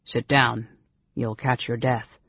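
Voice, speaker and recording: Narrator as a big, gentle, awkward warrior. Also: medium pitch, flat delivery, slow, vocal fry, husky, no room sound, highs cut off, heavily acted. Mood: gentle, concerned. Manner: awkward, good-natured, hesitant.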